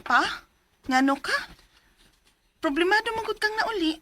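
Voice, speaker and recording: An actress with a Cebuano accent playing a troubled young village mother in a radio drama, earnest and expressive. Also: extremely high pitch, measured pace, background noise. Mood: concerned, questioning. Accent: Cebuano Filipino. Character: troubled 21-year-old village mother telling her story. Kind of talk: radio drama acting and letter narration.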